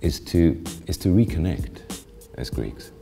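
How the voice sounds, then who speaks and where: A man with an extremely deep, dark voice recalls his path in a lively interview manner, often restarting his sentences. A little music plays quietly underneath. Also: unhurried, vocal fry. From South Africa. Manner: animated storytelling with frequent restarts. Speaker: interviewee, honoree. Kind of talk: reflective interview answers.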